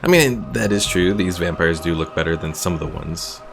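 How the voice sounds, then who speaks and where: Low-voiced, chatty male game streamer. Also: moderate pace, gravelly. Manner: chatty, thinking aloud, reading out chat. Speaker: gaming streamer. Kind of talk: casual live gameplay commentary. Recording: game music behind the voice. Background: video game music.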